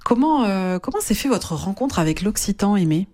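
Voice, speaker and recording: A woman hosts an interview, guiding her guest along. She asks measured questions at an even pace in a mid-range voice. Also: medium pitch. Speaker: interviewer, radio host. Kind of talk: radio interview.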